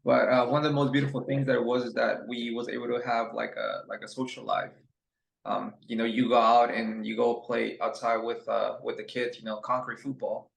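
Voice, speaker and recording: A young man from Ecuador talks at an ordinary pitch in a relaxed, rambling way, circling back on his words often. His voice has a gravelly edge. The room around him is echoey. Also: a little fast. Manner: relaxed, rambling, many fillers and restarts. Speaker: guest. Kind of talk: casual interview chat.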